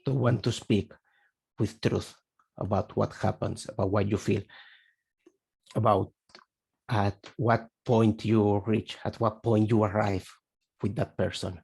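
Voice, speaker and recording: A man's voice: fairly low pitch, steady tone, a little slow, vocal fry, long pauses, a little mellow, breathy edge. A man from Spain with a Spanish accent, a Spanish native speaker asking a question on a video call, searching for words as he goes. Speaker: webinar participant asking a question. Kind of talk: halting question in a video webinar.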